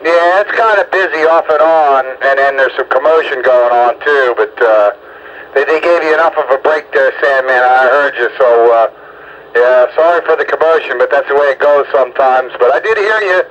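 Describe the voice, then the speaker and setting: A man's voice: medium pitch, moderate pace. A man chatting casually over two-way radio as an operator checking in callers, with a folksy, rambling manner. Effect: two-way radio transmission. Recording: muffled CB radio with loud static.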